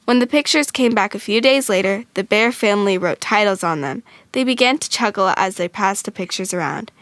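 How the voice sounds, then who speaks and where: A woman narrating a children's storybook from a script, expressive and clearly phrased. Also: mid-range voice, brisk, no echo.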